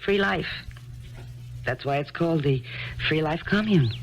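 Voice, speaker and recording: A gentle, coaxing commune mother. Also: low-pitched, animated, unhurried, highs cut off, very noisy. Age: 30s. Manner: soft, coaxing and warmly insistent. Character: gentle dreamy woman poet living in a commune. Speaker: mother in a radio drama. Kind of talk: 1970s radio drama acting.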